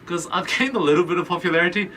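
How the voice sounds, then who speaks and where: A man's voice: sits high, racing, a bit bright. A man from New Zealand with a New Zealand accent, a podcast guest in casual, rambling chat who laughs easily. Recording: distant mic, faint background noise.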